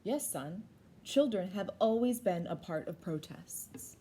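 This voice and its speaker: A woman's voice: fairly low pitch, lively intonation, unhurried, warm tone, husky. A woman reading the part of a patient father explaining things to his children in a picture book. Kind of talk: picture book read-aloud. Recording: noisy background.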